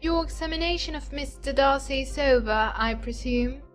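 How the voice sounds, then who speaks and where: A woman's voice: sits high, moderate pace, lightly acted. A woman playing an affected, haughty, flirtatious Regency society lady in a dramatized audiobook, with a theatrical, genteel manner. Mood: pert, superior. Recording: music bed under the voice.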